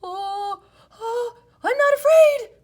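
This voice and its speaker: A woman's voice: very high-pitched, slow pace, pitched above the performer's own voice. A woman voicing a small, timid but loyal male frog, peeping and exclaiming in a children's storybook. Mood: trembling.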